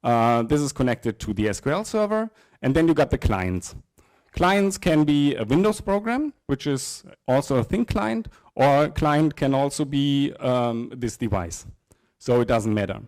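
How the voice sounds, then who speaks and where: A middle-aged man giving an informal live technical presentation, often halting and repeating words. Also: medium pitch, expressive, a little mellow, no room sound, studio quality. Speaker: software presenter. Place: indoor presentation room with small audience. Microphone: handheld microphone.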